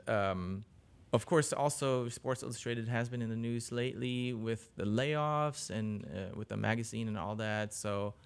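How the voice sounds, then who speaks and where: Clear-voiced man, halting interviewee. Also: fairly low pitch. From Germany. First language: German. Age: 40s. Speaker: interview guest, business journalist. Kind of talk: casual interview conversation.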